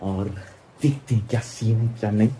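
A young man with a fairly low voice chats excitedly to a handheld camera on a travel vlog, slipping English words into his Hindi. He is outdoors on a river, and faint ambient hiss sits under his voice. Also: fairly quick. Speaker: travel vlogger. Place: outdoors by a river and in a small round boat.

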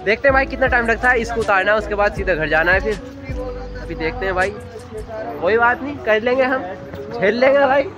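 A man's voice: sits high, lively intonation, brisk, over music. A young man vlogging outdoors in a village with a phone at arm's length, chatting casually and teasing his friends. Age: young adult. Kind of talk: casual vlog chat to camera. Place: outdoors in a village and on a moving bike. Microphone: phone held at arm's length.

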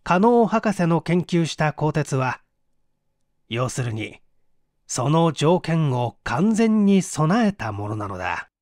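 A man narrating a mystery story as an audiobook reader, in a measured, dramatic storytelling manner. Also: fairly high pitch, big pitch swings, a little mellow, no echo, clean studio sound. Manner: measured storytelling with acted dialogue.

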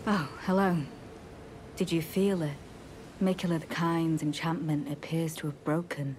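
An actress playing a solemn, devout female knight in a video game, with measured, formal, archaic phrasing and a courteous but coldly zealous manner. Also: deep voice, fairly even intonation, a little mellow, slight background noise. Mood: quietly resolute. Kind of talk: scripted video game dialogue.

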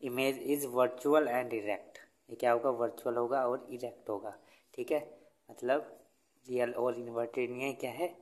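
A man teaching in Hindi mixed with English, answering his own questions, and reading English lines out slowly. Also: mid-range voice, steady tone, darkish tone. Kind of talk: Hindi-English tutorial over notebook. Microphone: phone camera over notebook.

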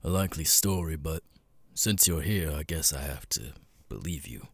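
A man voicing a gruff, jaded cat demon bartender in an ASMR roleplay, muttering and swearing wearily with hesitant pauses in a deep, gravelly rasp. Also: animated, no echo, full character voice. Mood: weary, resigned.

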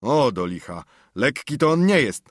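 Man voicing an old man, hearty, loud, jovial, husky, lively pitch. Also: normal speed, no echo, studio quality.

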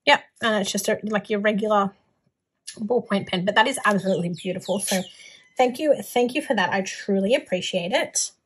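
A woman's voice: medium pitch, a little fast, breathy edge. Chatty, gushing Australian woman. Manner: gushing, informal. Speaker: planner haul vlogger. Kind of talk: casual chatty product show-and-tell.

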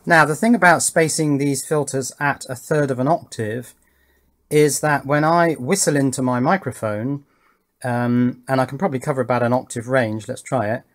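A man with a medium-pitched voice talks casually through a practical job at his bench. He thinks aloud as he goes, in the informal style of a hobby demonstrator, and often tacks small questions onto his statements. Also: normal speed. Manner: thinking aloud while working, with tag questions. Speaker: host of an electronics build channel. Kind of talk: casual hands-on demonstration commentary. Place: indoors at a wooden workbench.

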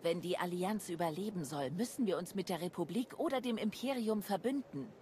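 A grave, independent-minded female adviser acted by a woman in a video game. She speaks in a measured, fairly low voice over a faint rumble. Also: animated, mellow, close to an everyday voice. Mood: grave, composed. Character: composed, serious woman adviser, loyal yet independent. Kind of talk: video game cutscene acting.

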